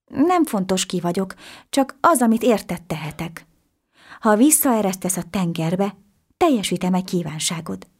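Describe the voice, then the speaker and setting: A woman voicing a gentle, wise magical diamond fish in a storybook tale, speaking softly and chanting a spell. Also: medium pitch, animated, breathy edge. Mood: solemn. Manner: soft, measured, chanting.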